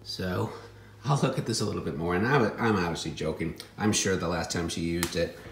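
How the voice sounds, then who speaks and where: A man's voice: low voice, fairly quick, reverberant, a little hiss or hum. A man showing secondhand finds in casual, offhand chat with a wry, joking manner.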